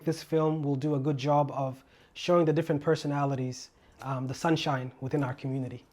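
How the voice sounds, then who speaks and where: A man, a filmmaker speaking in a measured, reflective way about his community. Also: medium pitch, level tone, a little mellow.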